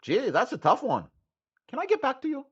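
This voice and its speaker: A man's voice: very high voice, huge pitch swings, brisk, slightly rough, lo-fi sound, heavily acted. An actor playing a cheeky, sarcastic male hairstylist questioned by detectives in a comedic radio drama. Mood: sassy. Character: sassy male hairstylist suspect.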